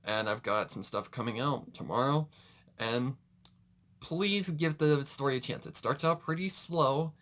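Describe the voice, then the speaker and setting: Bright-voiced man rambling through casual reaction commentary. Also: mid-range voice, measured pace. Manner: rambling, self-correcting, mild slang exclamations. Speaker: reaction video host.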